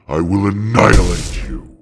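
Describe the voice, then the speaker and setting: A man's voice: low voice, unhurried, gravelly, heavy background noise. A man playing an ancient, imperious dragon in an audio drama, speaking in a grand, formal, menacing way. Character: ancient, imperious male dragon guarding a hoard of books.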